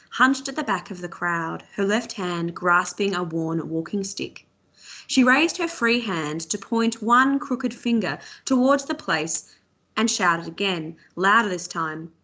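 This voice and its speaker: A woman narrating a novel aloud for students in a measured, expressive storytelling manner. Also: on the low side. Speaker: teacher reading aloud to students.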